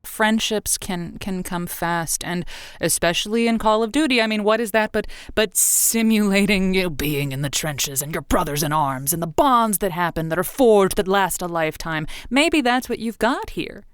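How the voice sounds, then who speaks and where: A woman's voice: mid-range voice, animated, moderate pace, smooth, dry recording, studio quality, lightly acted. A woman playing a rambling radio advice host. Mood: playful. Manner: teasing, rambling, hesitant. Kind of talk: scripted audio drama.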